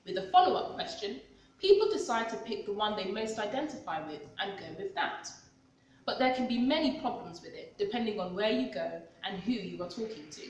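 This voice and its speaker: A woman's voice: mid-range voice, a little fast. A woman in her twenties from London with a London accent, expressively reading her own personal essay aloud. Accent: London English. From London, England. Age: 20s. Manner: expressive reading with acted-out dialogue. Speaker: author and vlogger. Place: large echoey cultural hall. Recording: very echoey hall, distant mic.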